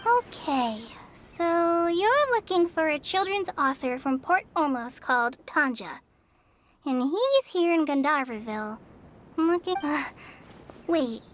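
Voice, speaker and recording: A woman voicing an earnest, kindly young trainee forest ranger in an anime game, gentle and reassuring. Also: high voice, expressive, slow, heavily acted. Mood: helpful. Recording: music under the voice.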